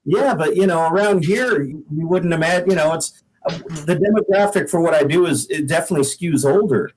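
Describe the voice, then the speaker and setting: A man's voice: a little high, a little fast, a little mellow, slightly rough, poor audio. Folksy American man chatting casually. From Pacific Northwest, United States. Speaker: interview guest, singer-songwriter. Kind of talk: casual conversational storytelling.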